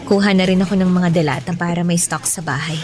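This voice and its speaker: An actress playing a wronged, outspoken young female office worker in Filipino radio drama, with an expressive, conversational delivery and a Filipino accent. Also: lowish voice, fast, background noise, close to an everyday voice. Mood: musing. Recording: background music under the voice.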